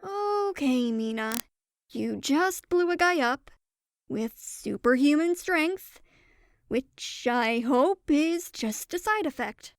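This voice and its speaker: Woman as a high-voiced feisty goat girl. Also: slow, dry recording, exaggerated voice. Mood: stunned, resolving. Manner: defiant, startled, expressive. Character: feisty, bewildered young goat girl, newly dead heroine. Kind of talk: audio drama character acting.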